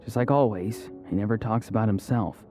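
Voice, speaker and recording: Thoughtful adventurer, low mellow voice, quiet, subdued, video game dialogue. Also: female voice, brisk, faint music behind. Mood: pensive.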